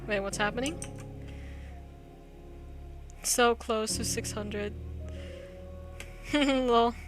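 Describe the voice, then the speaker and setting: A woman's voice: a little high, extremely slow, long pauses. A woman streaming a game playthrough, reading story text aloud and chatting casually. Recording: music under the voice. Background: game music.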